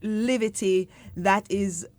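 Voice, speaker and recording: A Jamaican woman with a mid-range voice talks easily in an interview, drifting in and out of patois. She tells her stories with lively storytelling energy and stretches her words as she goes. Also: medium pitch, slow pace, dry recording. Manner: animated storytelling, mixing patois with standard English, stretching words. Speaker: singer and poet, interview guest. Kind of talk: relaxed conversational interview.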